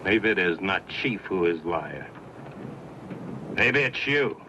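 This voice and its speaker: Low-voiced, stubborn frontiersman. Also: animated, takes it slowly, dull audio, full character voice. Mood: menacing challenge. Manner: blunt, challenging, folksy western speech. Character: hard, stubborn, defiant frontiersman guarding his rifles. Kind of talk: old western radio acting. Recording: old radio recording with clear background noise.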